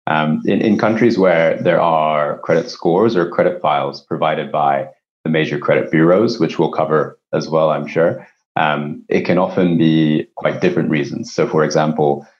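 A man with an extremely low voice answers as an interview guest in a conversational, explanatory manner. He is a company executive from Japan, and the recording sounds slightly dull and lo-fi. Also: steady tone, moderate pace. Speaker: company CEO, podcast guest.

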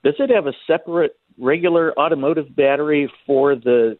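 A man's voice: a little high, a little fast, no echo. A man calling in to a radio show, asking questions politely and a bit hesitantly. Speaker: caller. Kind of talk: casual phone call. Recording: tinny phone line.

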